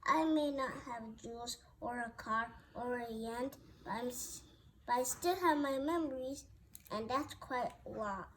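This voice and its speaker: A woman reading a rhyming children's Christmas story aloud, haltingly, often stumbling over and repeating words. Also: a little high. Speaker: storybook reader. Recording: noticeable background noise.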